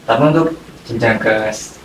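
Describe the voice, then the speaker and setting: A male podcast host talks in a casual, chatty way. His medium-pitched voice comes from some distance in a very echoey room, over faint noise. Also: moderate pace, fairly bright tone.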